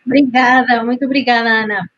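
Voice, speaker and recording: A middle-aged woman from Chile with a Chilean Spanish accent, speaking as a panelist and pausing often with fillers. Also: fairly high pitch, steady tone, a bit bright, lo-fi sound. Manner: hesitant, frequent fillers and restarts. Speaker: panelist, marketing director. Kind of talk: panel talk.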